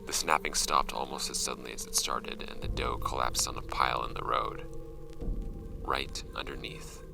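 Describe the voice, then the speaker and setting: An actor playing a shaken paranormal investigator recounting a grim field log in a horror audio drama, grave and deliberate, his gravelly voice often fading to breath. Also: steady tone, whispered, over music, heavily acted. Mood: haunted, grave. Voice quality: very low, gravelly, often breathy at phrase ends. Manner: slow-burning, grave, deliberate.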